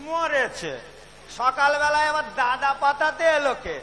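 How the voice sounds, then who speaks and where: A man's voice: extremely high pitch, lively intonation, measured pace, bright, forceful tone, full character voice. A man acting a shrewd, irritable landlord in his fifties in an amateur audio drama, brusque and sarcastic. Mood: sarcastic, grumbling. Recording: echoing school hall stage.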